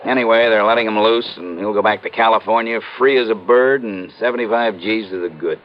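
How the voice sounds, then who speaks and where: A man's voice: fairly low pitch, brisk, dull audio, close to an everyday voice. Man playing exasperated police lieutenant, noisy old radio. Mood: frustrated, insistent. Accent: American. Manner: colloquial, exasperated, emphatic. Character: frustrated, folksy, dogged male police lieutenant. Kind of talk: 1950s radio drama acting.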